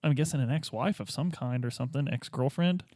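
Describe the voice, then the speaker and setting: A man's voice: mid-range voice, brisk, a little mellow, no room sound, clean studio sound. A man taking part as a player in a tabletop game, speaking in casual, improvised roleplay.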